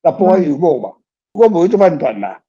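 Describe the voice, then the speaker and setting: A man's voice: fairly high pitch, big pitch swings, fast talker, warm tone, slightly rough. An older man with a Taiwanese accent talking casually and reflectively as an author, often adding tag questions. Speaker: author, guest. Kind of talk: casual reflective talk on own writing. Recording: muffled, slightly dull sound.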